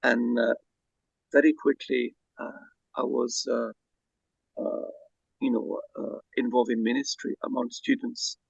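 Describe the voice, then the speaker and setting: A Belgian-born man whose first language is Dutch, a guest speaking softly and hesitantly with many fillers over a video call. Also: mid-range voice, big pitch swings, unhurried, vocal fry, long pauses, slightly rough, no echo, highs cut off.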